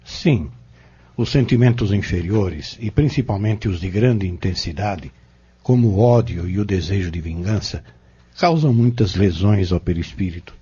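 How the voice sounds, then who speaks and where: Muffled recording of a man playing a calm, wise Brazilian mentor in an audio drama. His voice is low and very husky, and he explains things with formal reassurance. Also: moderate pace, full character voice. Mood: calm, reassuring. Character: calm, wise adult male pharmacist and spiritist mentor.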